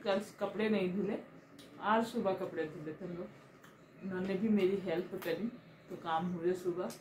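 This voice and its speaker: A woman's voice: medium pitch, a little mellow, slight background noise, poor audio. A woman in her thirties from India, chatting casually through a family vlog, her throat sore. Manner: chatty, homely, laughs often. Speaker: family vlogger. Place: home and market.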